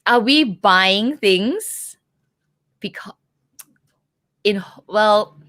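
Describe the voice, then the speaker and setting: A woman's voice: mid-range voice, animated, drawn-out words, fairly bright tone, no echo, studio quality. A woman hosting a casual livestream chat from Malaysia, talking with many likes and restarts and laughing often. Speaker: livestream host.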